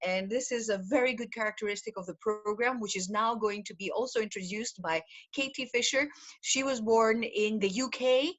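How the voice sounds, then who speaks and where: A woman's voice: on the high side, moderate pace, a bit bright, lo-fi sound. A middle-aged woman from Greece, a program director, presenting an online information session from home over a video call. She talks in a chatty way, with many fillers and laughs.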